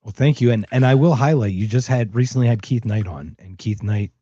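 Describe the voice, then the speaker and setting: Male podcast host, mid-pitched, effusive and emphatic, casual delivery, close dry sound that is a bit muffled. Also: brisk, dark tone, dry recording. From Philadelphia, United States.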